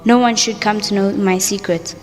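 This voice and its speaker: A woman's voice: medium pitch, fairly even intonation, moderate pace, long reverb tail, close to an everyday voice. An actress playing a guarded, introspective young woman in an audio drama. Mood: guarded, uneasy. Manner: apologetic, introspective narration.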